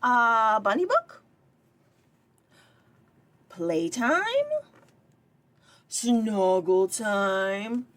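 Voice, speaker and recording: A woman's voice: mid-range voice, expressive, drawn-out words, pauses often, a bit bright. A woman voicing a coaxing mother of a small boy in a storybook, in a sing-song manner.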